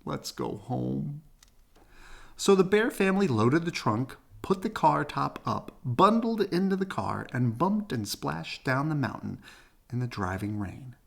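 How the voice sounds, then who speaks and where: A man reading a children's picture book aloud in an animated storytelling style. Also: a little high, a little slow, gravelly. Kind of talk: animated read-aloud narration.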